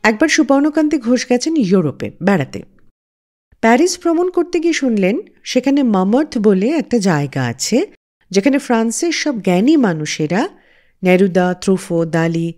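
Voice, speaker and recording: A woman's voice: fairly high pitch, a little mellow. A woman hosting a music radio show, telling scripted stories in a dramatic storyteller's manner.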